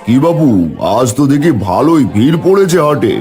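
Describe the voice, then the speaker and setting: Lively high-voiced village man acted out. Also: brisk, soft background music, some chatter behind, close to an everyday voice. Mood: cheerful. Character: village men: concerned headman and eager helpers. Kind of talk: cartoon character dialogue.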